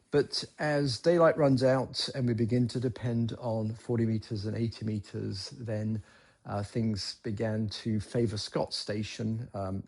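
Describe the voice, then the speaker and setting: A man giving an unscripted, matter-of-fact recap as a ham radio operator, in measured phrases. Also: lowish voice, vocal fry, darkish tone, husky. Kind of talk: unscripted explanatory recap to camera.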